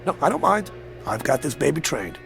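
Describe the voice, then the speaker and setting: A man's voice: on the high side, very animated, brisk, gravelly, full character voice. An actor playing a sly, genial, mysterious newspaperman in a radio drama, wheedling and courtly, stammering when pressed. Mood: flustered, insistent. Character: sly, genial, mysterious male newspaper reporter and linotype operator. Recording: radio drama with music underneath.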